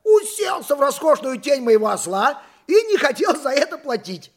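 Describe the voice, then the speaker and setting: An actor playing a stubborn, pious, excitable donkey driver, a working-class husband, in a theatrical audio play. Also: male voice, very high-pitched, moderate pace, exaggerated voice. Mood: indignant. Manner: theatrical, indignant, chuckling cunningly. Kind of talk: audio play stage acting.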